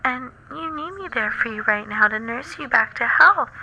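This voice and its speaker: A woman playing a sweet, doting young anime girlfriend in a soothing ASMR roleplay, affectionate and gently coaxing. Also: a little high, expressive, normal speed, a little hiss or hum, exaggerated voice, rough recording. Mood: caring, reassuring.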